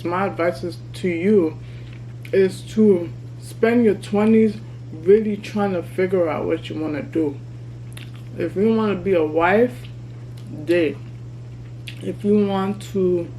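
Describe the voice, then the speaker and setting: Woman in her thirties, vlogging over a meal, mellow voice, faint hum behind. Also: mid-range voice, poor audio. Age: 30s. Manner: candid, chatty, eating while talking. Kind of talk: casual mukbang vlog chat. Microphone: camera mic.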